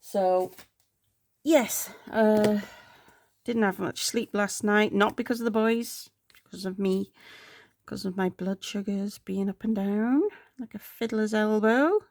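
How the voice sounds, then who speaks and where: A woman's voice: medium pitch, steady tone, slow, darkish tone, dry recording. A woman chatting casually while planning, congested and with a sore throat, rambling and sometimes murmuring to herself. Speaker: planner vlogger.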